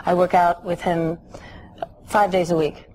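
A woman's voice: lowish voice, level tone, measured pace, darkish tone, poor audio. A woman in her thirties, a singer, answering interview questions on a TV studio set, laughing easily and thinking aloud. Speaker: singer, guest. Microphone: lapel mic. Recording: faint hiss, old TV tape.

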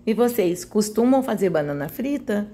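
A woman with a Brazilian accent narrates a home cooking video. She talks casually and in a homely, chatty manner, at a middling pitch. Her sentences often end in small tag questions, as if checking that viewers follow. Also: lively intonation, normal speed, mellow. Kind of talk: casual recipe voice-over.

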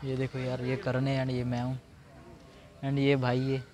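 A man's voice: medium pitch, monotone, measured pace, crowd noise. A man, chatting casually and chummily to viewers as he vlogs outdoors on a handheld camera. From Punjab, India. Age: 20s. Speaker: vlogger. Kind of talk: casual vlog chat to camera. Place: outdoors in an open school ground and park. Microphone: handheld camera at arm's length.

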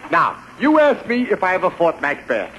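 Punchy ex-boxer, noisy old radio. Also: male voice, high voice, wildly swooping pitch, moderate pace, lo-fi sound. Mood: jokey. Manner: slangy, guileless. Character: good-natured, dim, punchy ex-boxer who loves his own jokes. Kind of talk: 1940s American radio comedy acting. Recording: noisy vintage radio broadcast recording.

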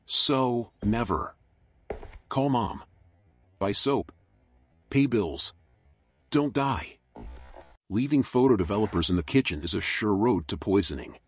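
Weary noir raccoon sleuth, husky low voice, dry sardonic delivery, close dry sound, video game. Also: male voice, takes it slowly, pauses often, no echo, heavily acted. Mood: deadpan, wry. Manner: dry, wry, deadpan muttering. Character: world-weary raccoon private detective, wry and sardonic. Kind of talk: noir game voice acting, internal monologue and dialogue.

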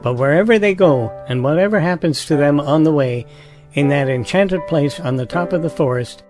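A man's voice: a little high, moderate pace, music in the background, natural voice. A man narrating a children's storybook drama from a script. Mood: gentle.

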